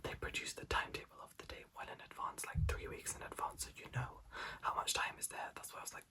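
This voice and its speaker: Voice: quick pace, bright, forceful tone. A person whispering a personal story in a casual, chatty ASMR style, acting out bits of dialogue. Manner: whispering throughout, acts out quoted exchanges.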